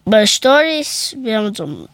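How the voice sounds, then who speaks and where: A child excitedly repeating words. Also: female voice, on the high side, dry recording. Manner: halting, searching for words, repeats phrases. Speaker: child interviewee. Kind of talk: casual chat.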